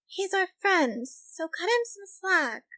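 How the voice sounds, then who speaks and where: A woman's voice: very high-pitched, big pitch swings, takes it slowly, sharp tone, exaggerated voice. A woman voicing an impulsive, loyal young girl with a tail in an audiobook drama. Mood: insistent. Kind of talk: audiobook drama character acting.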